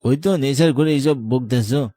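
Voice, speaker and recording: A man's voice: medium pitch, moderate pace, dark tone, no room sound, clean studio sound, close to an everyday voice. Man acting insistent middle-aged Bengali tea seller. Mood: scolding warning. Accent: rural Bengali dialect. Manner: insistent, scolding. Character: mysterious middle-aged tea stall keeper, urgently warning. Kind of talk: horror cartoon voice acting.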